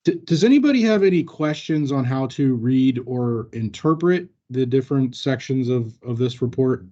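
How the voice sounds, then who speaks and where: Male training presenter with a mellow, mid-range voice, explaining procedure informally and somewhat haltingly. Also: medium pitch, animated, muffled recording.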